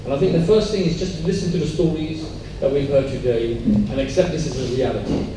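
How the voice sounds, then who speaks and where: A man's voice: fairly high pitch, fairly even intonation, fairly quick. A man giving an earnest, emphatic panel answer at a community talk, repeating his key points. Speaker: panel speaker. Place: large echoey room at a public event. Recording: very echoey hall, distant mic, faint noise.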